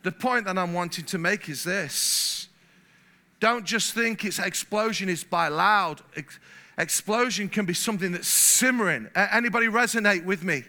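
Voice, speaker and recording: Brief: male pastor from England, high-pitched and sharp, lively delivery that swells louder. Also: moderate pace. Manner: animated, gets louder when stirred, repeats words. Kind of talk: sermon. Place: church hall.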